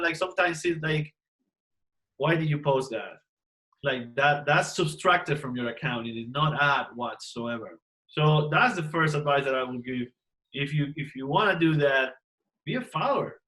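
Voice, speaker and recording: A man's voice: fairly high pitch, normal speed, sharp tone, rough recording. A man, a dentist who teaches courses, giving advice on a panel, emphatic and asking rhetorical questions while repeating words as he goes.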